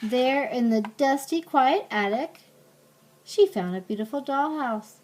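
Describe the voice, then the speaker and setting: Older woman, slow pace, higher-pitched, gentle storybook reader, simple asides for a child. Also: lively intonation, a little hiss or hum. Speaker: grandmother reading aloud to a child. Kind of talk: storybook read-aloud.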